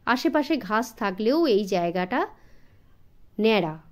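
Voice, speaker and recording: A woman's voice: medium pitch, very animated, a little slow. A woman reading a scripted first-person story for children as an audiobook narrator.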